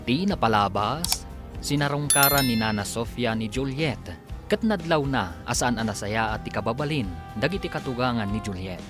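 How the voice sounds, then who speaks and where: A man narrating a radio drama as its announcer, scripted and theatrical, with drawn-out title calls. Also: mid-range voice, animated. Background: music.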